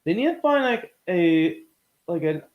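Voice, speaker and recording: A man's voice: high-pitched, animated, measured pace, narrow, tinny audio. A man co-hosting a casual movie chat, rambling informally and often searching for his words. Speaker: podcast co-host.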